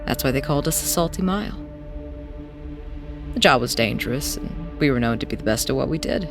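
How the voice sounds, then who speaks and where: A woman's voice: a bass voice, lively intonation. Audio drama role: tired ex-smuggler played by a woman, hesitant and reflective storyteller with music underneath. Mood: reflective, storytelling. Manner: hesitant, reflective, wistful, with pauses. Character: weary, idealistic former smuggler recounting their past. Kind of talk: audio drama acting, halting first-person testimony.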